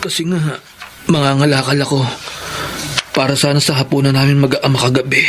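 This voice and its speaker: A man's voice: medium pitch, little pitch movement, fairly quick, background noise, close to an everyday voice. A man playing a humble, injured father. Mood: weak. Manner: weak, grateful. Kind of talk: classic radio drama acting.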